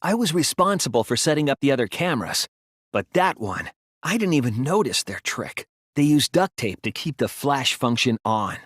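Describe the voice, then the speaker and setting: A man voicing an earnest, soft-spoken teenage detective in a video game, reasoning carefully through a case. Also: mid-range voice, big pitch swings, moderate pace, breathy edge, no room sound, studio quality. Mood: analytical. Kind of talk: video game voice acting, deductive exposition.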